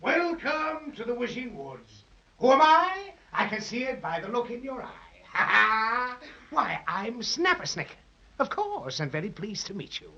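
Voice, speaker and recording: Swooping, husky, gushing cartoon crocodile. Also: male voice, very high voice, sing-song, a little slow, pushed and bright, full character voice. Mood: gushing welcome. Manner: exclamatory, repeats words, gushing. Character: eccentric, effusive male crocodile, lonesome and chatty. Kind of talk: 1930s children's radio serial acting. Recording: dull 1930s radio transcription.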